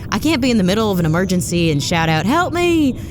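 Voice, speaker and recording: An actress playing a blunt, sarcastic, tough-talking space commander in a sci-fi comedy audio drama. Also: female voice, mid-range voice, big pitch swings, fast talker, background noise, lightly acted. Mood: exasperated, bossy. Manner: blunt, wry, informal, profane. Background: music under the voice.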